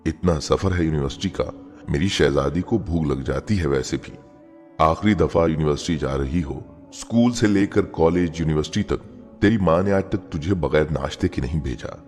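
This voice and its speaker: A man's voice: extremely low pitch, normal speed, a little mellow. A man voicing a doting, affectionate middle-aged mother in a dramatic moral story. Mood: tender, doting. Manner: affectionate, coaxing. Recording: long reverb, music bed behind.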